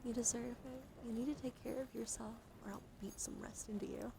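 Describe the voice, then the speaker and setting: A woman livestreaming ASMR, chatting softly and soothingly to her viewers with affection, drifting between whispers and gentle speech. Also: fairly high pitch, steady tone, fairly quick, vocal fry, noisy background. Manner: affectionate toward listeners. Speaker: live streamer hosting ASMR. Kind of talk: ASMR, soft intimate chat with live viewers.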